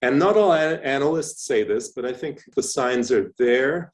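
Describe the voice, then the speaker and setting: A middle-aged man from Canada giving a lecture presentation, speaking in a thoughtful, measured way with frequent restarts and fillers. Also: mid-range voice, animated. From Canada (Toronto). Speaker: professor giving a talk.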